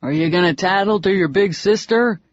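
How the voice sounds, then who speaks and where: A man voicing a cocky young daredevil who teases in a low, lazy drawl. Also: normal speed, lightly acted. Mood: taunting. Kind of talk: audiobook dialogue. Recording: dull lo-fi dry audio.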